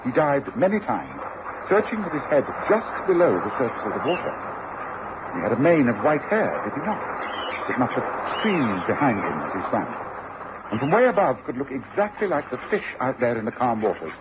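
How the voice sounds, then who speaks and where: An actor playing a brisk, assured detective in a radio drama, explaining with authoritative precision. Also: male voice, mid-range voice, big pitch swings, close to an everyday voice. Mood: explaining, intent. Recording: noisy, muffled old radio drama recording.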